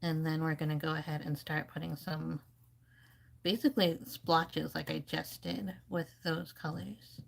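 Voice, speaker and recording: A woman's voice: deep voice, flat delivery, a little slow, creaky. A woman giving casual, rambling live commentary as she paints, thinking aloud like an informal art teacher.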